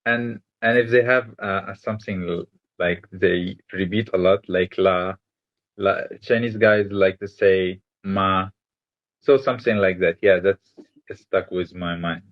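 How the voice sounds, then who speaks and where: A man's voice: low voice, fairly even intonation, a little slow. A man from Egypt, a native Arabic speaker with Malaysian-influenced English, answering interview questions casually. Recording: dull lo-fi sound.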